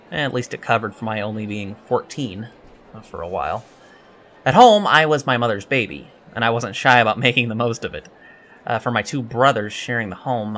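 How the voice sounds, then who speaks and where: A man's voice: medium pitch, a bit bright. A man playing an eager, emotional young alien cadet in an audio drama interview, hesitant, polite and self-deprecating.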